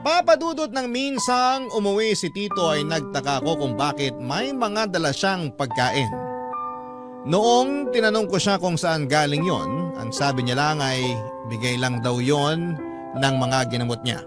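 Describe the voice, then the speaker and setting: Radio drama narrator: Filipino man, earnest confessional letter sender, slightly high pitch, clear music bed. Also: lively intonation, measured pace. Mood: troubled, reflective. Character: male letter sender earnestly recounting his troubled past. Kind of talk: dramatic first-person radio storytelling.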